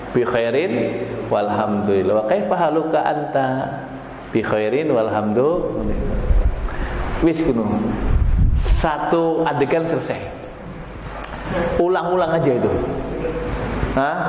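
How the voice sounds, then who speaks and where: A man with a Javanese accent leads an informal language lesson in a very reverberant hall. He is colloquial and jokey, voicing little question-and-answer examples for his students. Loud ambient noise sits behind the heavy echo. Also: on the high side. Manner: colloquial, jokey, acting out short phrase exchanges. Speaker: Arabic language teacher. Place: large echoey room, teaching a live class. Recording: very echoey reverberant hall, clear background noise.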